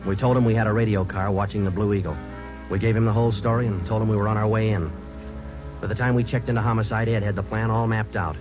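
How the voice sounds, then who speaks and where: An actor playing a terse police sergeant who also narrates, in flat, matter-of-fact 1950s radio drama style, on a dull lo-fi old radio broadcast with music. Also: male voice, fairly low pitch, quick pace, close to an everyday voice. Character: terse male police sergeant, calm and clipped. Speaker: detective and narrator.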